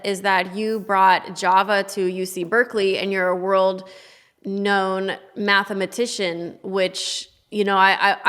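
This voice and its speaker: A woman's voice: medium pitch, a bit bright, smooth. A woman hosting a podcast interview, speaking in an upbeat, eager way. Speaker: podcast host.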